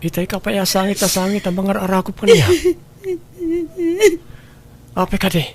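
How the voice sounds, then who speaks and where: A man voicing a patient, loving husband in an audio drama, speaking calmly and reassuringly. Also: high-pitched, normal speed.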